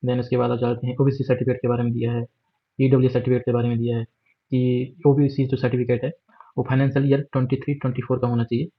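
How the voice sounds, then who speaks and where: A man's voice: medium pitch, flat delivery, fast, slightly rough. A man with an Indian accent walking through a job notification on screen, explaining steadily with fillers and English words mixed in. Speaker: exam guide presenter. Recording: dull lo-fi voice recording.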